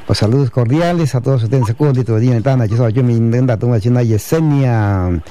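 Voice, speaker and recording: A man hosts a community radio broadcast with a mellow, darkish voice. He reels off long strings of greetings to towns and listeners, and the sound carries the feel of a radio transmission. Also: mid-range voice, brisk. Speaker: radio host.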